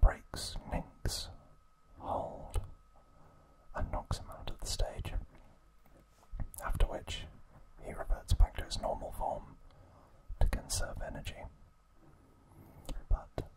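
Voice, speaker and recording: A man whispering a trivia recap as an ASMR host, in breathy, unvoiced speech broken into short, deliberate phrases. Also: low-quality recording.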